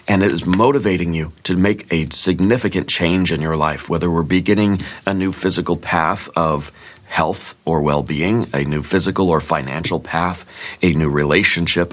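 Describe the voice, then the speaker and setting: A man giving a soft-spoken ASMR tarot reading in a musing, gently reassuring way, a little congested with a sore throat. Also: low-pitched. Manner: musing, gently reassuring, thinks aloud with fillers. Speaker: tarot reader.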